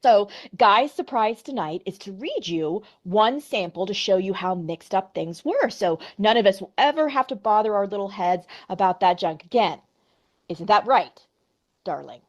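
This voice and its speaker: A woman's voice: medium pitch, lively intonation, moderate pace, highs cut off, close to an everyday voice. A woman voicing a flighty, nervous housewife who talks with forced, quavery cheer to her guests.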